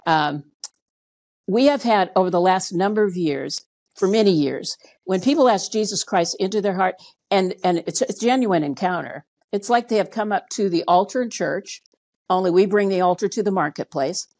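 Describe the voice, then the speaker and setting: A woman's voice: fairly low pitch, darkish tone. A woman in her sixties, a pastor, telling testimony stories conversationally as an interview guest, with emphatic repetition. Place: indoor room on a video call.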